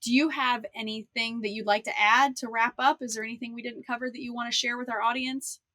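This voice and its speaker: A woman's voice: a little high, fairly even intonation, fast talker, sharp tone, no room sound. A woman hosting a podcast interview, upbeat and affirming as she wraps up with her guest. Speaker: podcast host.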